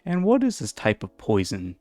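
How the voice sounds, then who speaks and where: A man voicing an amused, doting pony queen mother with a measured, questioning manner. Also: on the low side, wildly swooping pitch, a little mellow, no echo, faint music behind.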